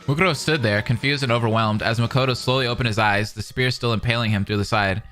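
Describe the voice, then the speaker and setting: A man reading narration dramatically and adding excited commentary as a reaction streamer. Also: mid-range voice, fast talker. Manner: animated, dramatic. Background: faint music.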